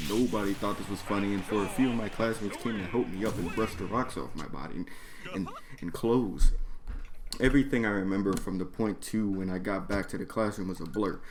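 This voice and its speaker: Low voiced guy ranting thru a personal story, swears a lot, really emphatic, noisy background. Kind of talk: animated personal storytelling, profane slang.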